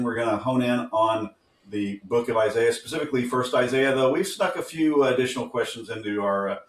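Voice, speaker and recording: Brief: male co-host, casual and rambling, roomy far-off recording. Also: fairly low pitch. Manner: easygoing and rambling, with frequent fillers and self-corrections. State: groggy. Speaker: podcast co-host. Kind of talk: casual interview talk. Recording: roomy sound from a distant mic.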